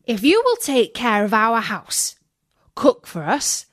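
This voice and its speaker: A woman's voice: a little high, wildly swooping pitch, takes it slowly, fairly bright tone, no room sound, clean studio sound, heavily acted. A woman voicing fussy, kindly little male dwarfs in an exclaiming manner for a children's storybook. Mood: kindly, earnest. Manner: exclaiming, questioning.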